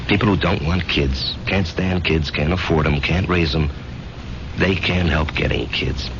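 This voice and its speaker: An actor playing an American war veteran in his thirties, reflective and halting, in a 1970s radio drama. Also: extremely low pitch, background noise. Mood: wistful. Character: haunted thirtyish war veteran turned weary salesman. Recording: muffled old radio broadcast.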